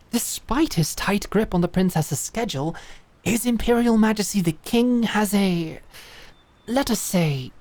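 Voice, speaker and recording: A composed female knight in a fantasy audio drama. Her voice sits low and even, with formal, measured phrasing. The sound is dry and close. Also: no echo. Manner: calm, formal, measured persuasion.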